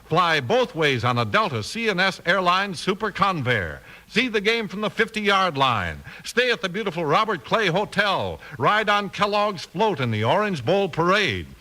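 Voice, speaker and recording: A man's voice: high voice, sing-song, normal speed, few pauses, sharp tone, low-quality recording. An American man reading a scripted 1950s radio advertisement as an announcer, with emphatic, polished delivery.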